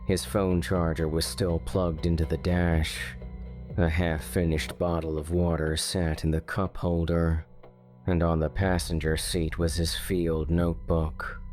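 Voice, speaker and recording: A man narrating a scripted true-crime horror story in measured, short phrases with pauses. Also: low voice, flat delivery, a little mellow, faint music behind.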